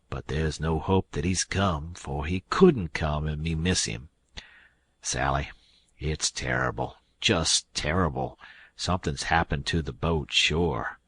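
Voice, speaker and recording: A man voicing a worried old farmer who speaks low and slow. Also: fairly bright tone, slightly rough, dry recording, heavily acted.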